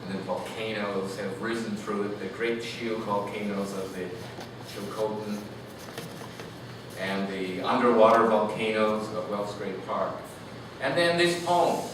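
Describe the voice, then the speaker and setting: A man speaking as a poet at a live reading, in a measured, deliberate manner. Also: medium pitch. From Canada. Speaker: poet reading from own work. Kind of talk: live poetry reading with informal anecdotes. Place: echoey room at a live reading. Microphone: distant microphone. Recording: distant, echoey room with noisy background.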